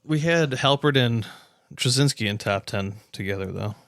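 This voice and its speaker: A man's voice: mid-range voice, expressive, unhurried, no echo, clean studio sound. A man co-hosting a podcast, talking sports in a casual, laid-back, slangy way. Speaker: podcast co-host.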